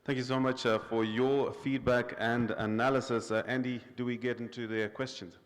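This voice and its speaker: A man's voice: mid-range voice, flat delivery, normal speed. A man co-hosting a TV panel, cueing the next audience questions in a businesslike way. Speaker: co-host.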